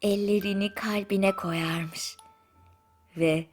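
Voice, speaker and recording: A woman narrating a bedtime story in a soothing, gentle manner with drawn-out pauses. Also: medium pitch, steady tone. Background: music.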